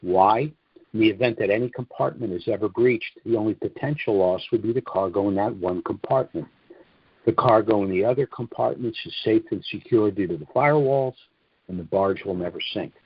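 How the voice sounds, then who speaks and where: A man giving a scripted sales presentation on a conference call in a measured, explanatory manner, with muffled, roomy audio. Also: fairly low pitch, husky. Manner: measured, explanatory, asks and answers own questions.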